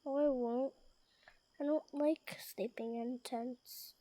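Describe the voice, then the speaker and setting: An actor as a timid, high-voiced young boy. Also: steady tone, takes it slowly, warm tone, dry recording. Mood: timid, uneasy. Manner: hesitant, apologetic. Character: timid, polite young boy who cannot sleep. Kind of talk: radio drama acting.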